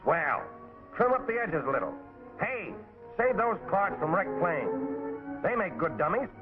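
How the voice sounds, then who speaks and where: An American actor playing a small, brisk, cheerful animal supervisor in a 1940s military training cartoon, calling out folksy instructions. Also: male voice, sits high, big pitch swings. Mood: bossy. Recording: tinny 1940s film soundtrack with music.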